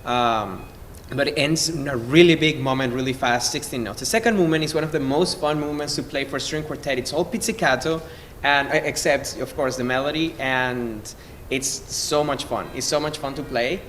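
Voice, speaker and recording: A man from Venezuela, a cellist, introducing music informally in an effusive, halting way as he searches for words. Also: medium pitch, fairly bright tone. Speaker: cellist in a string quartet. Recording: noisy, long hall reverb.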